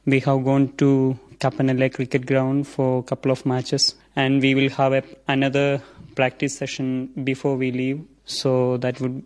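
Young Indian man, seminarian, formal earnest answers, monotone mid voice. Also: mid-range voice, moderate pace. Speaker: seminarian interviewee. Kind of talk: interview answers.

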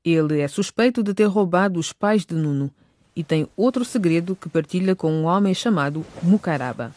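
A woman's voice: on the low side, lively intonation, moderate pace, a little mellow, no room sound, natural voice. A woman narrating a radio drama in a serious, scripted storytelling style. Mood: measured.

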